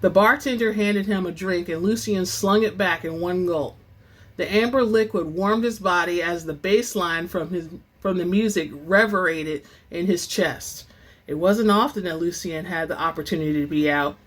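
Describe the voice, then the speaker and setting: A woman hosting and reading book excerpts in a dramatic, expressive storytelling style, with informal asides. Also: lowish voice, moderate pace. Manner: theatrical, expressive; informal, says y'all. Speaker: host and reader of book excerpts.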